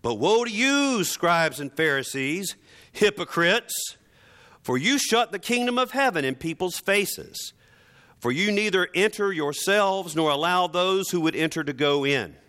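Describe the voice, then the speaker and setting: A man voicing an indignant biblical prophet in a sermon, scolding hypocrites in a declamatory, drawn-out manner. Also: high voice, animated, pushed and bright, heavily acted. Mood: indignant, denouncing.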